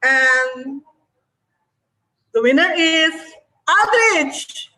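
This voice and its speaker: Slow, high-voiced woman hosting a video meeting. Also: animated, pushed and bright, rough recording. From Indonesia. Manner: cheerful, encouraging, unhurried. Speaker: meeting host, Toastmaster of the day. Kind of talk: online club meeting hosting. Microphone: video call.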